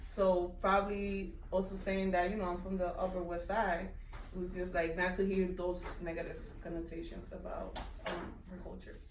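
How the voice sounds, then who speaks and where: A woman's voice: on the low side, flat delivery, normal speed. A woman from the Dominican Republic with a Dominican Spanish accent, chatting at a book club, rambling with many fillers and frequent laughs. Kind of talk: casual book discussion. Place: bookshop event room. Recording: echoey room, distant mic, background noise.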